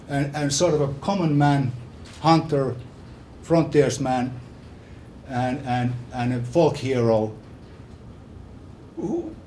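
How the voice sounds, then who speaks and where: Finnish guy w a strong accent giving a lecture, mellow relaxed voice, keeps repeating words, bit of noise behind him. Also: medium pitch. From Finland. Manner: halting, repeats words and phrases often. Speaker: visiting scholar giving a lecture. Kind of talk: informal academic lecture to an audience.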